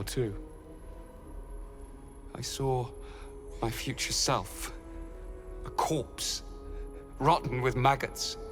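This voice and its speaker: A haunted, shaken elf prisoner. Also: male voice, fairly bright tone. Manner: trembling, low and bitter. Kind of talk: video game voice acting.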